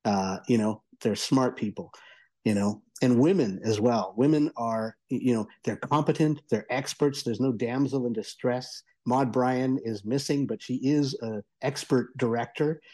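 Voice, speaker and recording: A man speaking as a guest reviewer in a conversational discussion, haltingly, repeating words for emphasis. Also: mid-range voice, mellow.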